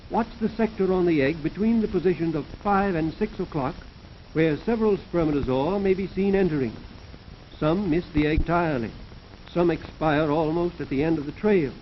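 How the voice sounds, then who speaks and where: Male narrator, high-pitched, formal and measured, 1930s instructional film style, muffled vintage soundtrack. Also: a little hiss or hum. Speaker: narrator of an educational science film. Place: recorded voice-over for a film.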